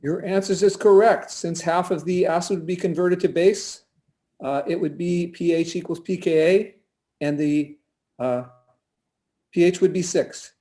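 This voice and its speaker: A man's voice: high-pitched, a little slow, mellow. A man, a professor, confirming a quiz answer with a hesitant explanation over a video call.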